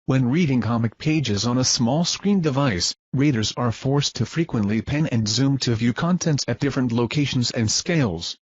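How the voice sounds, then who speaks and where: A man reads a scripted research narration in a formal, measured manner, like a presenter explaining a technical method. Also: mid-range voice, expressive, breathy edge, no echo, lo-fi sound.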